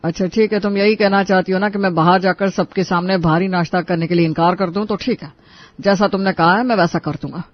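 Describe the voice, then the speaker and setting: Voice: medium pitch, steady tone, fairly quick, no room sound, lo-fi sound, natural voice. A performer voicing a henpecked husband in a family cartoon, reasoning and placating. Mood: reproachful, resigned. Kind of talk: Hindi family cartoon voice acting.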